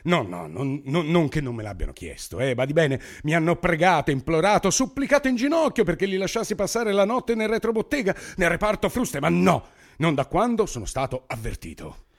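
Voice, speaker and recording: A man's voice: a little high, sing-song, fairly quick. A man acting in a radio play as a grotesque, obsessive, conspiratorial bookshop owner, emphatic and grandiose, piling up repetitive lists. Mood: indignant, boastful. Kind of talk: theatrical radio drama acting.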